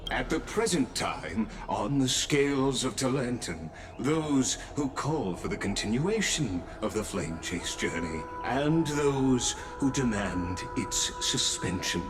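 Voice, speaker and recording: An actor playing a solemn, stately official who presides over a vote with deliberate, formal pronouncements in a game. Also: male voice, mid-range voice, very animated, over music.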